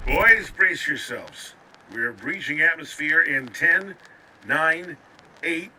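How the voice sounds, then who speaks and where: Man as a forceful spaceship captain over radio. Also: fairly high pitch, expressive, takes it slowly, exaggerated voice, rough recording. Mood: brusque, assured. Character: brusque, commanding male spaceship captain. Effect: radio transmission. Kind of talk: sci-fi radio transmission acting. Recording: radio transmission with faint noise.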